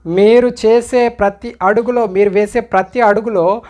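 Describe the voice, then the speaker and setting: A man's voice: high-pitched, steady tone, normal speed, a little mellow. Male commentator, speaking straight to viewers, admonishing, full of pointed questions. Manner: admonishing, questioning, repeatedly urging listeners to reflect.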